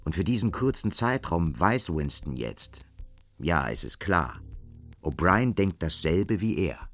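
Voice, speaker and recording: An actor narrating a dystopian radio drama as a sober storyteller in a measured manner. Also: male voice, low-pitched, very animated, a bit bright, exaggerated voice. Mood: measured, intent. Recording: music behind the narration.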